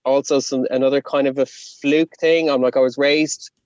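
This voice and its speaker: A man's voice: mid-range voice, fairly even intonation, normal speed, a little mellow, dry recording. Chatty man, dull dry sound. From Connemara, Ireland. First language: Irish. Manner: rambling, self-deprecating, chatty with many fillers. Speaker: rapper, interview guest. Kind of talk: casual storytelling.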